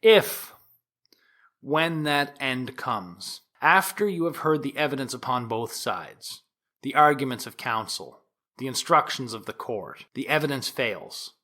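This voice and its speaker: An actor playing a formal, insistent prosecuting attorney from the 1890s in a courtroom audio drama, speaking in a measured, emphatic way. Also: male voice, mid-range voice, pushed and bright. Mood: earnest, solemn appeal. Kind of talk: dramatized courtroom oratory and examination.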